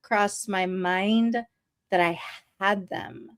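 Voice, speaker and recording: A woman's voice: mid-range voice, steady tone, slow, clean tone. A woman of about fifty hosting a reflective personal conversation, speaking earnestly and repeating phrases for emphasis. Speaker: podcast host and interviewer.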